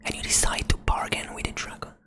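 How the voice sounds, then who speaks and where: A woman whispering a tabletop roleplay adventure as game master, in slow, soft second-person storytelling. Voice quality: breathy whisper.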